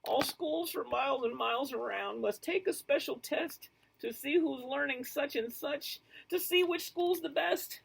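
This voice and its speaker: A man's voice: measured pace, creaky, hardly any pauses, fairly bright tone, heavily acted, rough recording. A man voicing a fussy, emotional male school official from a storybook, with a theatrically wailing delivery. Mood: tearful dread. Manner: high, wailing, theatrically anxious.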